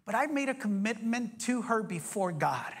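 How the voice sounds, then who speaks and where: A man in his forties preaching a sermon as pastor, energetic, joking and firing off rhetorical questions. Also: high-pitched, normal speed, breathy edge. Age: 40s.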